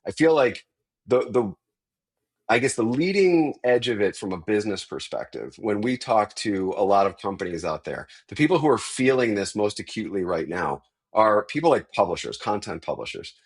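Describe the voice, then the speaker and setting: A man's voice: lowish voice, big pitch swings, normal speed, slightly rough. A man who is a guest on a tech podcast, explaining industry shifts in a thoughtful, conversational way. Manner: thoughtful, explanatory, with frequent restarts.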